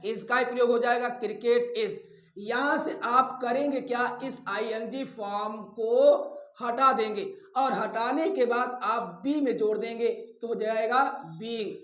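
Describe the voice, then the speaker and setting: Male instructor, slow dictating delivery, step-by-step classroom explanation, roomy echoing sound. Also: very high-pitched, steady tone, fairly bright tone. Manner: deliberate, dictating, drawn-out repetition of examples. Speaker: English grammar teacher. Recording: echoey room.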